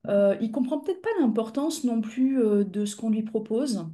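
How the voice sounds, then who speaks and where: A middle-aged woman, a physiotherapist and trainer, hosting a live webinar for clinicians in a measured, explanatory way with frequent hesitations, speaking over a video call. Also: fairly high pitch, dark tone. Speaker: physiotherapist and pain-education instructor, webinar host.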